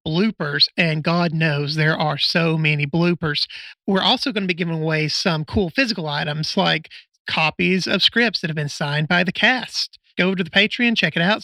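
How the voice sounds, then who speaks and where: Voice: mid-range voice, sharp tone, no echo. A podcast host giving a casual announcement to listeners.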